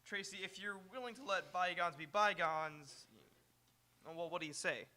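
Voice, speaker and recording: A young man playing a stuffy, hesitant fiancé, stiff and halting, in live radio theatre. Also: sits high, sharp tone. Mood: awkward, pleading.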